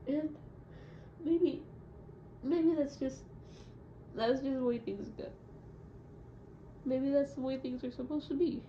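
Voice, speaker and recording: A young woman records a personal audio diary in a fairly high voice. She speaks haltingly and reflectively, searching for her words. The sound is dull and clearly echoey. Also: steady tone. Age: young adult. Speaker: audio diarist. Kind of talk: confessional audio diary monologue. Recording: muffled, echoey room with loud noise.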